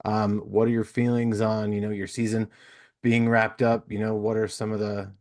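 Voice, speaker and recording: A man's voice: on the low side, level tone, moderate pace, a little mellow. A man hosting a casual podcast interview, rambling and searching for words with lots of fillers. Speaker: podcast host.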